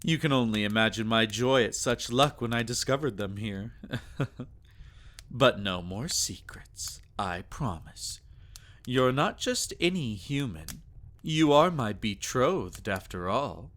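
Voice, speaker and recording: A man's voice: mid-range voice, expressive, takes it slowly, a bit bright. Man acting as a tender, formal vampire lover. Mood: tender, playful. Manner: tender, formal, old-fashioned phrasing. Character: devoted, gentle male vampire lover and alchemist. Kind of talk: intimate ASMR roleplay audio drama.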